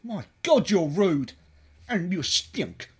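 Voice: medium pitch, big pitch swings, slow pace, breathy edge, heavily acted. An actor playing a polite but offended restaurant customer in a comedy sketch, plain-spoken and indignant. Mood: put out. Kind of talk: adult comedy sketch acting.

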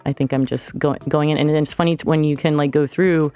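Halting woman DJ over faint music. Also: low-pitched, steady tone, a little mellow. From Florida, United States. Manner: hesitant, many fillers and restarts. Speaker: DJ guest. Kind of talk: casual radio interview. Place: radio studio.

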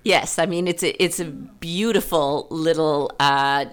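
A woman's voice: low-pitched, a little slow. A woman hosting a literary podcast, effusive and thinking aloud as she discusses writing. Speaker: podcast host, writer.

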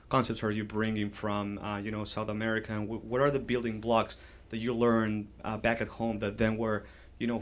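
Flat-voiced young man from Argentina. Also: fairly low pitch. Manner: polite, hesitant, with fillers. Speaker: graduate student asking a question. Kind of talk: audience question.

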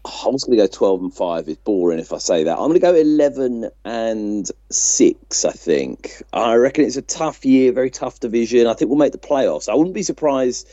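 A man's voice: medium pitch, lively intonation, normal speed, hardly any pauses, warm tone, no echo. A man co-hosting a sports podcast, casually giving his opinions, on slightly dull lo-fi audio. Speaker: podcast co-host.

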